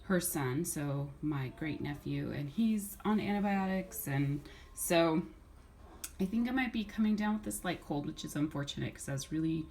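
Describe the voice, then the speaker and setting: A middle-aged woman with a slightly hoarse voice from a cold, chatting casually and rambling through a haul video at home. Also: low voice, lively intonation, moderate pace, darkish tone, slight background noise. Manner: chatty, rambling, with many restarts and fillers. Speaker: vlogger.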